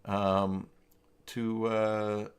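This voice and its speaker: A man with a fairly low voice hosts a casual live tutorial on website tools. He speaks informally and thinks aloud, often halting mid-sentence. His delivery is that of someone demonstrating on screen while chatting with those watching. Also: little pitch movement, smooth.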